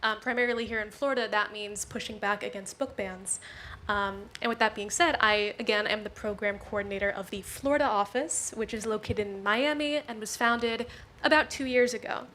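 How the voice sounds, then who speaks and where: A woman's voice: medium pitch, fairly quick, sharp tone, a little hiss or hum. A woman in her twenties speaking as a panelist, polite and articulate. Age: 20s. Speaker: nonprofit program coordinator, panelist. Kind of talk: panel introduction and discussion. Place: community arts center before a live audience.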